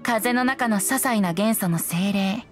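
A woman voicing a youthful, boyish wind spirit bard in an anime game, gentle and wistful, with lyrical storytelling phrasing. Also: mid-range voice, slow pace, faint music behind, natural voice. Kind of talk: anime video game voice acting, poetic narration.

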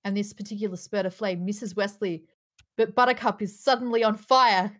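A woman's voice: mid-range voice, moderate pace, a bit bright. A woman reading stage directions aloud as narrator in a live script table read over a video call.

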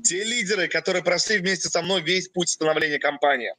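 A young man from Russia leads a company webinar, pausing after each short phrase for his interpreter. His voice sits high for a man and sounds bright and forward. The sound is close and dry, with no room around it. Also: no room sound. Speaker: company president. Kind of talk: webinar presentation.

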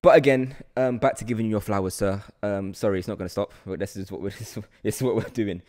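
Excitable young man, lowish voice. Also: quick pace. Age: 20s. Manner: effusive, excitable. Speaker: podcast host and actor. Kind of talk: casual interview chat. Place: studio room with large windows. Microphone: podcast mic on boom arm.

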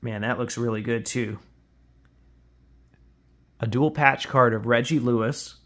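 A man's voice: mid-range voice, fairly even intonation, unhurried, fairly bright tone. A man hosting in a casual, conversational way, explaining items as he shows them in an unboxing. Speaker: podcast host. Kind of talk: casual unboxing commentary on sports cards. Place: indoors at a tabletop, hands-only camera.

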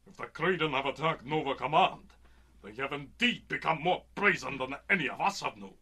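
A man playing a proud, fierce bird-man warrior in a radio serial, speaking formally and theatrically with a raspy voice. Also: fairly high pitch, moderate pace, pushed and bright, heavily acted. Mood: grave alarm. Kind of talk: old-time radio serial acting.

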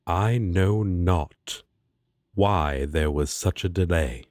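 A man's voice: low voice, slow, a little mellow, dry recording, studio quality. A man playing a righteous, protective angel warrior in a fantasy audio roleplay, speaking in formal, grandiose, archaic phrasing. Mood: solemn, reassuring. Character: solemn righteous male angel warrior, protective and self-important.

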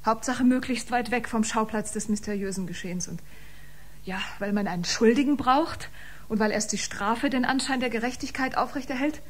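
An actress in a German science fiction radio drama playing a proud, wounded exiled biomusician, speaking dramatically with breathy deliberation. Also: female voice, fairly high pitch, normal speed, lightly acted. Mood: resigned, brooding.

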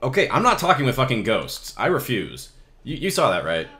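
A man's voice: mid-range voice, huge pitch swings, brisk, sharp tone. A man hosting a casual let's play, joking crudely and swearing his way through the game commentary. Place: hot indoor room.

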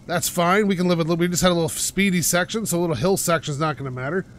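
A man hosting a driving game playthrough, pushing his voice out loud and energetic as he rambles and pokes fun at himself from his couch. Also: on the high side, very fast, a little hiss or hum. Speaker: gaming channel host. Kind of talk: casual let's-play commentary. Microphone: home microphone while playing on a couch.

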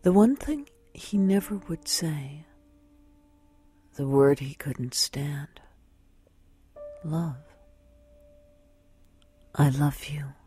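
An actress playing a brooding, lovesick woman, confiding in a breathy near-whisper with measured, intimate delivery in a radio play. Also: low voice, dark tone, full character voice. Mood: aching, intimate. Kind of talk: intimate dramatic monologue.

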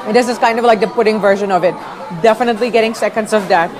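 Young woman food vlogger, medium pitch, expressive, busy restaurant chatter behind her. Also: racing. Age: young adult. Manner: expressive, tasting as she talks. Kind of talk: on-camera food review vlog. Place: busy restaurant dining room. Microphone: clip-on lapel mic.

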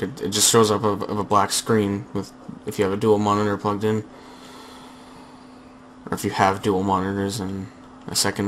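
Slightly hoarse young man, rambling gamer. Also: lowish voice, slight background noise. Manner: rambling, filler-heavy chatter with frequent restarts. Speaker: gaming channel host. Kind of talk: casual gameplay commentary. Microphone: headset.

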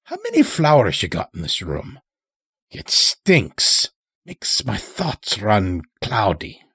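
A man's voice: on the low side, wildly swooping pitch, slow, bright, forceful tone, slightly rough, dry recording, studio quality, full character voice. A man voicing a weary, sly old blinded werewolf elder with a folksy, rustic drawl in an audio horror story. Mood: wry, weary.

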